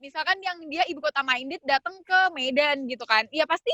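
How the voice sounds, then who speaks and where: High bright young woman from Java. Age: young adult. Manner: agreeable, quick to chime in. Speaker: podcast co-host. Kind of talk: casual chat.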